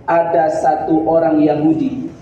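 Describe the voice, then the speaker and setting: A man's voice: a little high, flat delivery, unhurried, a little hiss or hum. A man in his thirties giving a Friday sermon, telling a story with earnest, rising emphasis, recorded on a webcam. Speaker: Friday preacher. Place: room in front of a green-screen backdrop. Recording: distant, very roomy, dull lo-fi webcam sound.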